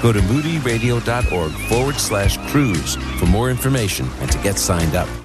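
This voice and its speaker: A man reads a radio promotion in an upbeat, scripted way. His voice is lowish but swings with animated pitch. Loud music sits behind him. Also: moderate pace. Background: music bed.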